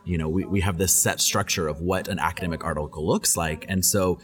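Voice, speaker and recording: A man's voice: low-pitched, steady tone, a little fast. A young adult man, a guest on a podcast, answering questions thoughtfully and often repeating words as he thinks. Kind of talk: casual interview answers.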